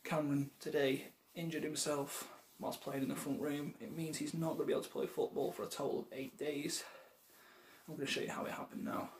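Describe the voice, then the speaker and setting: A young man hosting a spoof news show, soft-spoken in a mock newsreader style. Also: a little high, flat delivery, a little fast, echoey room, distant mic, slight background noise. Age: young adult. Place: small room indoors.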